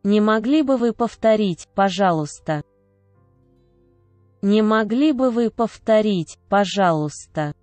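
A woman reading short phrases aloud one at a time, each clearly enunciated and measured. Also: mid-range voice, expressive, a little mellow, no room sound, soft background music. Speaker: Russian phrase reader. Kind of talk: slow repeated phrase reading.

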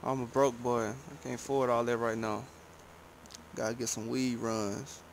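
A man's voice: mid-range voice, flat delivery, measured pace, a little hiss or hum. A man chatting casually on a gameplay livestream in slangy, profane language. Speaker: game streamer. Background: game ambience, vehicle sounds.

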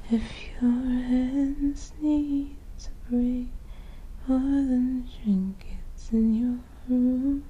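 A woman sings in a soft, breathy, sleepy ASMR style, gentle and often close to a whisper. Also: high-pitched, steady tone, extremely slow, dull audio. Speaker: ASMR performer.

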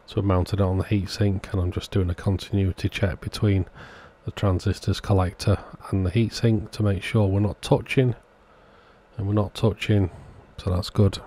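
A man hosts an informal repair narration in a fairly deep voice. His tone is dark and relaxed, with no sharp edge. He explains each step in a plain, matter-of-fact way. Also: moderate pace. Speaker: host of a radio repair channel. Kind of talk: informal scripted-sounding voice-over narration of a repair.

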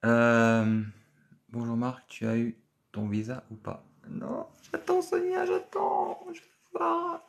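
A man, hosting a casual live stream from a small office and answering viewer comments, chatty and repetitive with many filler pauses. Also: mid-range voice, steady tone, vocal fry. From France. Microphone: phone camera at arm's length.

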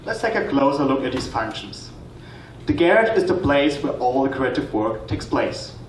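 A man in his twenties giving a rehearsed stage pitch as a student presenter, recorded in a hall. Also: very animated, normal speed. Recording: echoey, noisy hall, distant microphone pickup.

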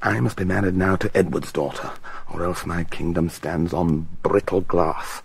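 A man's voice: sits low, normal speed, vocal fry, husky, full character voice. An actor playing a scheming, ruthless, volatile king in a theatrical, commanding, abrupt Shakespearean radio drama style.

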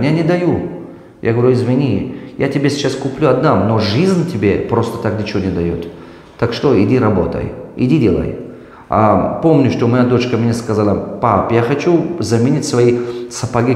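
A middle-aged man with a Tajik accent gives parenting advice as a business mentor, his voice swooping up and down as he acts out talks with his children. He wears a lapel mic in a glass-walled office, and the room adds a roomy echo with a long reverb tail. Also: mid-range voice. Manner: animated, gestures broadly, acts out dialogue with his children. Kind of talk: advice talk answering viewer questions.

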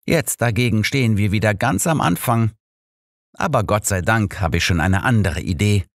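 A man's voice: lowish voice, animated, moderate pace, dry recording, studio quality. A man voicing a confident, cunning old detective with an assured, persuasive manner in an audiobook. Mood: disappointed. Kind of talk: audiobook character dialogue.

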